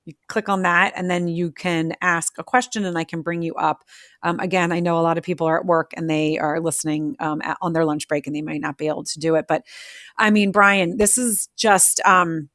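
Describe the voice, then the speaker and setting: Low-voiced woman host, dry close sound. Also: steady tone, brisk, no echo. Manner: warm, effusive, rambling with restarts. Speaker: podcast host. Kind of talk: live social audio interview. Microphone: remote audio on a social audio app.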